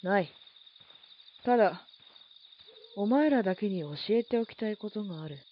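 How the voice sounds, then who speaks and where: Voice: medium pitch, lively intonation, normal speed, lo-fi sound, a little hiss or hum, lightly acted. A performer acting a stern yet reflective military instructor in a Japanese audio drama, commanding and formal.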